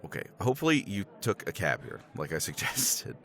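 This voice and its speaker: A man's voice: low voice, very animated, brisk, a bit bright, heavily acted. Man playing a tipsy, rambling middle-aged professor. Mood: persuasive. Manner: rambling, chuckling, swears casually. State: tipsy from scotch. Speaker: roleplay character. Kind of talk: ASMR romance roleplay.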